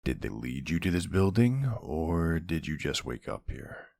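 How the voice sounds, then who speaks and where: A man playing a centuries-old vampire hunter in an ASMR roleplay, gruff, wry and suspicious, questioning someone in a brusque, intimate way. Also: very deep voice, sing-song, a little mellow, no room sound, clean studio sound, full character voice. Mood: probing, guarded. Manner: brusque, wry, slow interrogating delivery with pauses. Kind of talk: ASMR roleplay, intimate one-sided dialogue.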